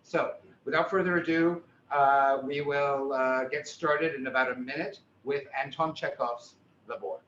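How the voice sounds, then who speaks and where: Host intro: older man, halting and chatty; roomy, dull video-call sound. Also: medium pitch, fairly even intonation, a bit bright. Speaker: director and host. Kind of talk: casual introduction. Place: seated on a small cabaret stage. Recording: roomy, muffled, slight noise.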